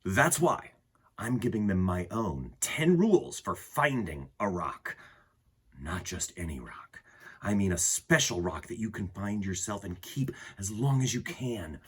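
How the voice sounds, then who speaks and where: A man with a husky voice reading a picture book aloud to children in an expressive way, with dramatic pauses and emphasis. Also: fairly low pitch. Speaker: children's storytime host and reader.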